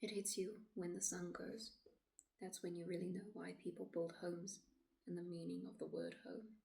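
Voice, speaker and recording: A woman acting a shaken city woman in a dramatic stage monologue, pleading her account to police in a halting, often hushed voice. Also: lowish voice, level tone. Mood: haunted, fearful. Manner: halting, hushed, often breathy near-whisper.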